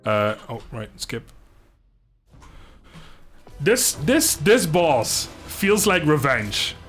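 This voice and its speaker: A man's voice: a little high, sing-song, very slow, fairly bright tone. A man giving casual, rambling, self-deprecating commentary as he livestreams a video game. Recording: music playing behind the voice.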